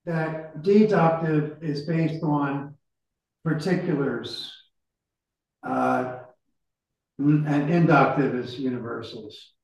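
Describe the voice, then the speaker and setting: A man's voice: on the high side, takes it slowly, gravelly, pauses often, a little mellow. A man in a discussion group raising a careful follow-up, reading out a definition. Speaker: participant asking a follow-up. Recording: echoey room, distant from mic.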